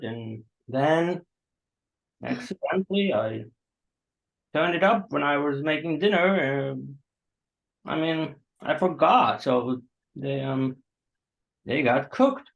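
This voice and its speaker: A man acting an awkward, apologetic host to a stranger in a staged play reading, halting and understated, on a dull recording. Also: fairly high pitch, creaky, heavily acted.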